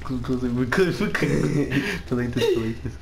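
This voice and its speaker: A man's voice: mid-range voice, fairly quick, gravelly, roomy sound, a loud crowd. A man chatting casually as a co-host in a gaming video. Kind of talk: casual chat. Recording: very noisy, clicks and phone tones. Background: loud noise, phone dialing.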